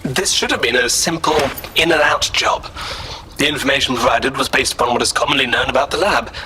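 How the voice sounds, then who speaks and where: Male android, bright voice, pedantic, polite, formal wording, sci-fi audio drama, slight background noise. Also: medium pitch, fairly quick. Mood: calm, analytical. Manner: formal, precise, wordy. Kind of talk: science fiction audio drama acting.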